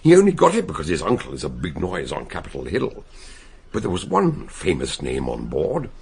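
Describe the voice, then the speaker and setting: Gruff old detective, deep husky rasp, swooping, chuckly radio-play sleuth. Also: male voice, normal speed, exaggerated voice. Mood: amused. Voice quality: gravelly, breathy voice. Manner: swooping, expressive delivery with chuckles. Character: eccentric elderly English detective, genial, learned. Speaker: amateur detective. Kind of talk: 1960s radio drama acting.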